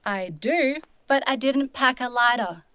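Young traveller, female actor, fantasy audio drama: chatty, curious, easily unsettled; slightly high voice, very dry recording. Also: a little slow, bright, forceful tone, clear, resonant tone, no echo. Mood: curious, upbeat. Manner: informal, chatty, reactive. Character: Charlie, casual, curious, uneasy young human traveller.

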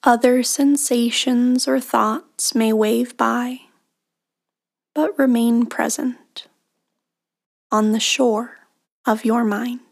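A woman leading a guided meditation in a hushed, breathy, soothing delivery with long pauses between phrases. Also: on the high side, fairly even intonation. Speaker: meditation guide, podcast host.

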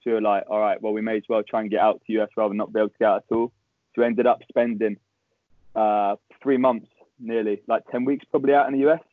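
A young man with a Southern English accent, a podcast guest chatting quickly with dry humour. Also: on the low side, steady tone, no room sound. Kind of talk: casual interview chat. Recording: tinny phone-like call line, dry.

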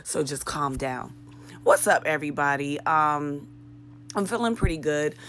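A woman with a low, raspy voice talks straight to her listeners in a loose solo podcast. She is chatty and candid, slides into profane rants and pokes fun at herself. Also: a little slow, fairly bright tone, a little hiss or hum. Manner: chatty, candid, ranting with swearing and self-mocking humor. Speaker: podcast host. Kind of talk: casual solo podcast monologue.

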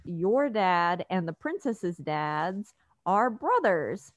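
A woman's voice: fairly low pitch, big pitch swings, takes it slowly, no room sound. A woman in her forties hosting a casual family podcast in a cheerful, upbeat way. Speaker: podcast host.

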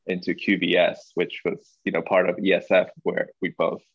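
A young man from Hong Kong, a podcast guest, talking in a relaxed, thoughtful way with lots of fillers. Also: sits low, moderate pace, husky, no room sound, highs cut off. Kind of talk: casual interview.

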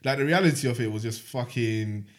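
A man in his twenties from Manchester, co-hosting a podcast in casual chat, telling animated stories full of slang. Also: mid-range voice, brisk. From Manchester, England. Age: 20s. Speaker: podcast co-host.

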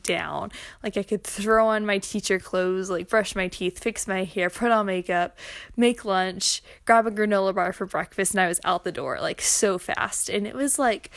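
A young woman records a casual personal audio log. Her voice sits at a medium pitch, and she talks informally in loose, rambling phrases full of filler "likes." The sound is close and dry, with very little room echo. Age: young adult. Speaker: podcaster, schoolteacher.

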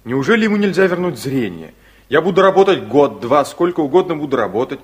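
An impulsive young son in a 1960s Soviet radio drama. The voice is husky and a little high, with very lively swings of pitch. He comes across as earnest, insistent and big-hearted. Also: brisk. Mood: pleading, reading aloud. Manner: eager, insistent.

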